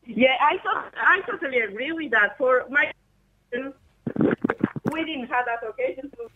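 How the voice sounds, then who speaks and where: A woman's voice: high voice, animated, a little slow, background noise. A woman from Romania, a non-native English speaker, calling a radio show and answering in short, simple sentences. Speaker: caller. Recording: phone line.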